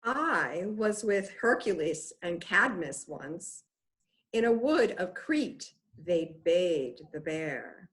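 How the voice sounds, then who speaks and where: A woman playing a proud warrior queen in a Shakespeare table read, with formal, deliberate delivery. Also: on the low side, big pitch swings, fairly bright tone, exaggerated voice. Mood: wistful pride. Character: proud warrior queen reminiscing about great hunts. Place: video call.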